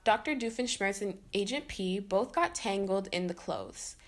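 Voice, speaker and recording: A woman reading a children's story aloud in an expressive way, acting out the dialogue. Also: a little high. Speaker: storybook reader.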